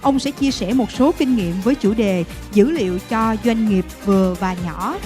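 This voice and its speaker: A woman emcee introduces the next speaker in a formal, ceremonious tone. Her voice is fairly high, with faint music behind her. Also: animated, normal speed, dark tone. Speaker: event emcee. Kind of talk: formal stage announcement.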